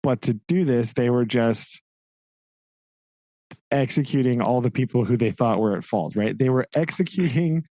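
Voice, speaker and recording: A man talks through a story in a loose, conversational way, peppering it with "right?" and chuckles. His voice sits at an ordinary pitch, and the recording is dry and close. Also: normal speed, mellow, no echo. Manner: retelling a story with frequent "right?" and laughs. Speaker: podcast co-host. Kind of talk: casual book discussion.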